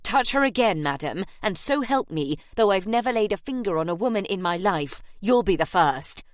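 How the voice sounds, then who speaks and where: A woman voicing a stern, protective young nobleman who speaks in low, clipped, snarling tones. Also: lively intonation, normal speed, no echo, studio quality, natural voice. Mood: threatening.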